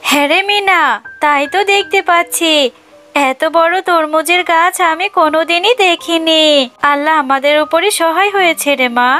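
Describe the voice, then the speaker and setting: A woman voicing a caring mother jackal in a children's cartoon, affectionate and expressive. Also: extremely high pitch, moderate pace, soft background music. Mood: amazed, grateful. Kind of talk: animated children's cartoon dub.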